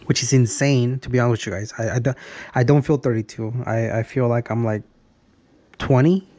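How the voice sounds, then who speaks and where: A man's voice: mid-range voice, a little fast, mellow, dry recording. A man in his early thirties talking in a casual, rambling vlog style, often repeating and restarting his phrases. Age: early 30s. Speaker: car vlogger.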